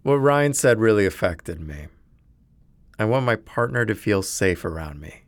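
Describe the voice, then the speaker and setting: A man with a lowish voice hosts and narrates in a measured, earnest way. He asks probing questions and reflects aloud. The recording is close and dry, with no room sound. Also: sing-song, no echo. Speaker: host and narrator. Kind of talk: reflective documentary narration and interviewing. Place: lives in a city neighborhood.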